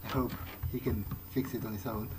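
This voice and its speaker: A man's voice: medium pitch, steady tone, brisk, noisy background. A man playing a video game in character, commenting in a hesitant, understated way as if musing to himself. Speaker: let's play host.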